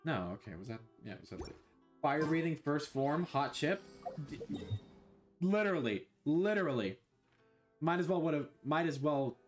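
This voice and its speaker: A young man streaming a video game from his bedroom on a headset mic, rambling, scattered and swearing a lot. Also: on the high side. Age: young adult. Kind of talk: casual gameplay commentary.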